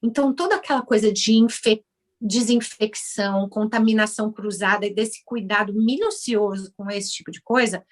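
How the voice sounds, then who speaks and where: A Brazilian woman in her forties, an orthodontist turned house cleaner, chatting in an interview and acting out conversations with animated voices. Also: medium pitch, normal speed. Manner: animated, acts out dialogue with others in shifted voices. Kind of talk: casual interview storytelling.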